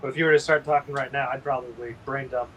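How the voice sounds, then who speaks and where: A man's voice: mid-range voice, fairly even intonation, fast, fairly bright tone, slight background noise, low-quality recording. Rambling sleepy man. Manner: rambling, sleepy, many ums. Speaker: painter and livestream host. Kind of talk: casual livestream chat.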